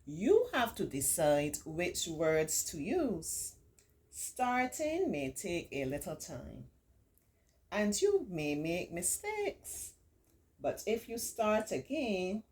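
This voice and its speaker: A woman reading a picture book aloud to children, with encouraging, simply explained asides and expressive, drawn-out emphasis. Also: fairly low pitch. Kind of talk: read-aloud story time with chatty asides to children.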